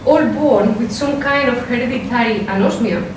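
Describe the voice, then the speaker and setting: A woman with a strong Spanish accent gives an academic talk in a lecture room, measured and careful, with hesitant fillers when she leaves her script. Her voice sits a little high and comes from a distance. The room is very echoey and the recording carries clear noise. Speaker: philosopher giving a workshop talk. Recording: echoey lecture hall, mic from a distance, noisy.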